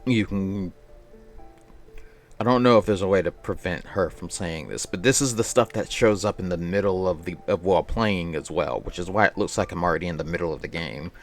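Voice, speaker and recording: A man's voice: low-pitched, a little fast. A man doing casual live game-stream commentary, rambling and repeating himself. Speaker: game streamer at a charity event. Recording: music playing behind the voice. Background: game music.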